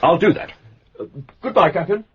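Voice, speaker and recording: An actor with an English accent playing an older, brisk, pompous and secretive senior government official in a radio drama. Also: male voice, high voice, slightly rough, dull audio. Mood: fussy, flustered. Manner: clipped, self-important, harrumphing. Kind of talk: British radio drama acting.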